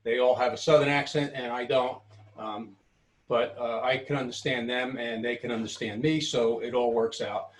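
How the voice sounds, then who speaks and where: Older New York man, halting casual talk. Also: medium pitch, steady tone, a little hiss or hum. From Brooklyn, New York City. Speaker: woodturner giving a workshop tour. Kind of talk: casual unscripted tour talk. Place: home basement-like workshop, indoors. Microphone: webcam, later handheld phone.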